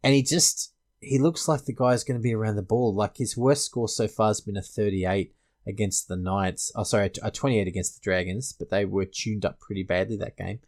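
A man's voice: lowish voice, steady tone, a little fast, a little mellow. A man with an Australian accent hosting a casual fantasy sports panel discussion. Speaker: podcast host.

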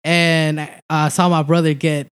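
A man's voice: a little high, little pitch movement, unhurried, clear, resonant tone, dry recording. A young man from El Salvador, a stand-up comic, talking loosely as a podcast guest, swearing and laughing a lot. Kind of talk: casual podcast chat.

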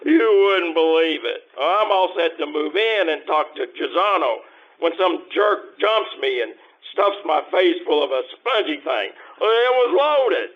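A man's voice: fairly high pitch, expressive, dull audio. A man playing a pushy, slangy small-time hoodlum in an old-time radio crime drama. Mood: grumbling, baffled. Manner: streetwise, slangy, halting. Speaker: community radio troupe actor.

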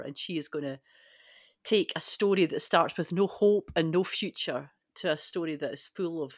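A woman podcast panellist with a lowish voice talks in a conversational, discussing style. She sounds close and dry, with no room echo.

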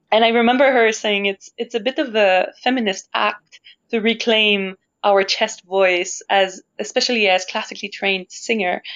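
Woman from Canada, opera singer guest, thoughtful and searching, muffled lo-fi sound. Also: medium pitch. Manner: thoughtful, searching for words, laughs easily. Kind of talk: reflective conversational interview. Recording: dull lo-fi sound.

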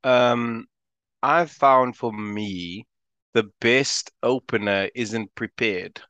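A man's voice: medium pitch, extremely slow, a bit bright, clear, resonant tone, dry recording, muffled recording. A man from South Africa with a South African accent, a stand-up comedian chatting casually and laughing often as an interview guest.

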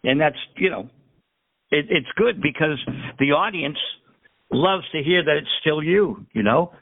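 A man hosting a radio show, interviewing a guest with slow, affirming questions. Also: on the high side, animated. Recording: phone-like line.

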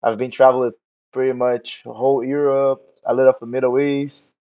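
A man's voice: medium pitch, flat delivery, dull audio. Brazilian man with a sore throat. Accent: Brazilian Portuguese. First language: Portuguese. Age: 20s. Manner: halting non-native English with filler words. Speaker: podcast guest. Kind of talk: casual interview chat.

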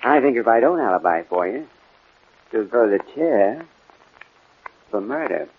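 An actor playing a cagey, greedy underworld fixer in a 1940s radio crime drama, slangy and quietly menacing. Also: male voice, mid-range voice, expressive, unhurried. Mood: cold, businesslike. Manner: slangy, menacing, repeats pet phrases. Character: cagey, greedy male underworld alibi seller. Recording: muffled, scratchy 1940s radio recording.

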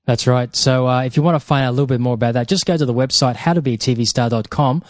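A man co-hosting a podcast, speaking in a measured, hesitant way with fillers and repeated words. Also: mid-range voice, dry recording, studio quality. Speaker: podcast co-host. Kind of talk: casual podcast talk.

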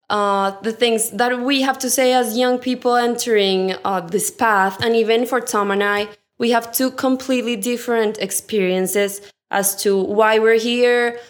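Young Colombian woman, eager podcast co-host. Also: on the high side. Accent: Colombian Spanish. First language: Spanish. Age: young adult. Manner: eager, often says "like". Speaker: podcast co-host, architecture intern. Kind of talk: casual podcast chat.

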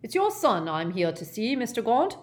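A woman's voice: a little high, sing-song, normal speed, pitched above the performer's own voice. A woman voicing a formal, easily flustered and indignant male government official in a storybook reading. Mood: cold, tart. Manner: formal, polite, tart.

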